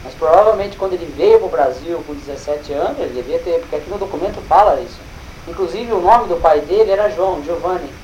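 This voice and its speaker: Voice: medium pitch, fast, a little mellow, muffled recording, a little hiss or hum. A speaker who keeps asking about family history and reads details from a document. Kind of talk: casual family conversation.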